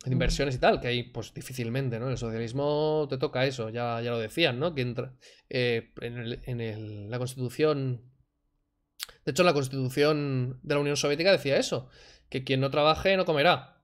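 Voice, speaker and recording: A man's voice: mid-range voice, moderate pace. Man, 30s, sarcastic, mocking, home streaming setup. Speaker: reaction streamer. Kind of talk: casual commentary over a clip. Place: home room, indoors. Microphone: desk mic, wearing headphones.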